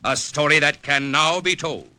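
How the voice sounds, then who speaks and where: A man's voice: fairly high pitch, moderate pace, sharp tone, clear, resonant tone. A man with an American accent announcing a 1950s radio drama in a formal, dramatic scripted style. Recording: vintage radio broadcast recording. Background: dramatic music.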